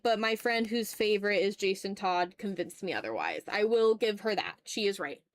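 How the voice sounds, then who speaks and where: A woman's voice: fairly high pitch, dry recording. Casual woman chatting on an art livestream, dry sound. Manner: informal, muttering asides to self. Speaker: art streamer. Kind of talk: casual livestream chat while drawing.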